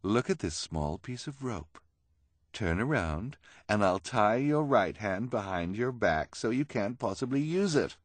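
Audiobook villainess, played by a man: haughty, persuasive schemer, animated pitch, close dry sound. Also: unhurried, no room sound. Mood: sly generosity. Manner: persuasive, emphatic, condescending.

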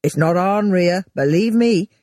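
A woman's voice: lowish voice, unhurried, a little mellow, dry recording, studio quality, lightly acted. A woman voicing an exasperated, defensive middle-aged man who sneers and blusters. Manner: sneering, indignant.

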